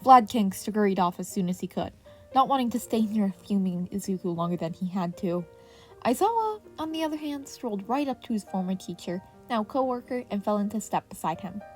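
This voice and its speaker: A woman's voice: mid-range voice, expressive, brisk. A woman reading scripted fiction aloud as a podfic narrator. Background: soft piano music.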